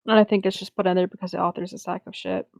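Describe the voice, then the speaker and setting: A woman's voice: fairly low pitch, level tone, very fast, a little mellow, dry recording, clean studio sound. A woman co-hosting, blunt and opinionated, chatting casually and reading aloud. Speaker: co-host of a fan fiction reading show.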